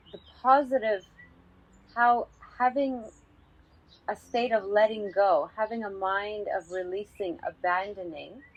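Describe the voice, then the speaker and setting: A woman teaching a guided meditation calmly and unhurriedly, pausing often between short phrases. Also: medium pitch. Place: outdoors in a garden. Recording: slightly dull sound with birdsong. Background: birdsong.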